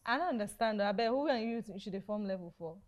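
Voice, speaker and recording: A woman's voice: medium pitch, animated, fast, natural voice. A woman playing a brash young married Nigerian woman egging on her friends in a screen drama. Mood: scornful.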